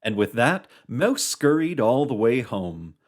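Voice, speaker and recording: A narrator reading a scripted children's puppet show story with an expressive storybook delivery. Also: mid-range voice, unhurried.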